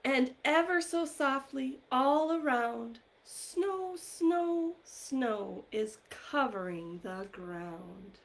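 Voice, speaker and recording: Female children's story time host, higher-pitched and unhurried, encouraging, with some creak in the voice. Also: expressive, rough recording. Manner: encouraging, gently questioning, addressing children directly. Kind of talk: children's live online story time, talking to young viewers.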